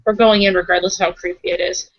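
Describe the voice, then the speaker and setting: A woman's voice: mid-range voice, fairly even intonation, quick pace, no echo, lo-fi sound. Casual woman gamer, dry close sound. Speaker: roleplaying game player. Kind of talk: casual improvised roleplay chat.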